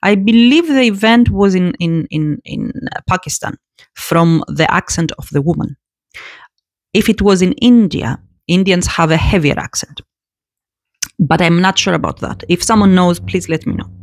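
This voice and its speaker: A woman giving casual spoken commentary to her audience, animated and prone to repeating words and restarting phrases. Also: fairly low pitch, normal speed, no echo, clean studio sound.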